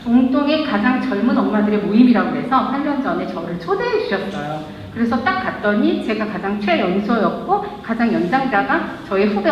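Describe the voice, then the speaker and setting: A woman's voice: medium pitch, slight background noise. A woman, a local brand founder, giving a chatty stage talk and joking with the audience. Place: event hall. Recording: echoey hall, lo-fi live recording.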